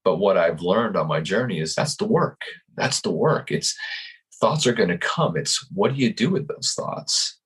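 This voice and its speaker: A man in his fifties, a guest on a video-call interview, talking in a calm, searching way with many restarts and repeated words. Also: on the low side, normal speed, slightly rough. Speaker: podcast guest. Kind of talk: reflective interview talk.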